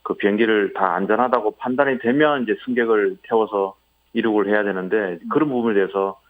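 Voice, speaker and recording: A man's voice: on the low side, brisk. A man giving a spontaneous, polite account as an interviewee over the phone, with somewhat hesitant phrasing. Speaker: interviewee, airline passenger. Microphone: phone call. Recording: muffled, band-limited phone connection.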